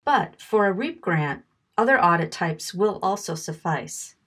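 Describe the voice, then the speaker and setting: A woman reading a measured, clearly worded narration for an informational video. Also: fairly low pitch, expressive, fairly bright tone. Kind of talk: scripted narration.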